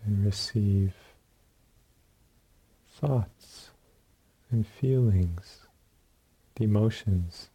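A man leading a guided meditation as a teacher, speaking calmly in short phrases with long pauses and a soft, husky voice. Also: on the low side. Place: meditation retreat room.

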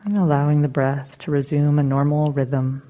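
A woman meditation teacher leading a guided meditation in a soothing, unhurried, gently instructing manner. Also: low voice, little pitch movement, muffled recording.

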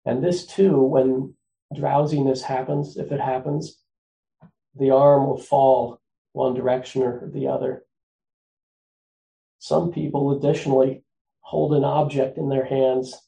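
A soft-spoken man teaches meditation, pausing often as he gently explains each posture. His low-energy voice is dark and mellow, with a gravelly edge at the bottom of his range. He talks at an easy, patient pace. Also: low-quality recording. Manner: gentle, unhurried, with frequent pauses and fillers. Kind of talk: guided meditation instruction.

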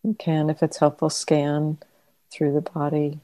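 A woman guides a meditation in a low, soft-toned voice. She speaks very slowly and gently, leaving long pauses between short phrases. The sound is close and dry. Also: flat delivery, no echo, poor audio. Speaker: meditation teacher. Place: meditation center.

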